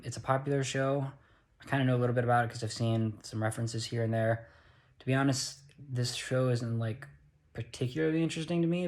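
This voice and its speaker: A man's voice: mid-range voice, darkish tone. A tired young man with an American accent, talking casually into a handheld phone, earnest and rambling, correcting himself as he goes. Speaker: vlogger. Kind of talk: casual vlog monologue.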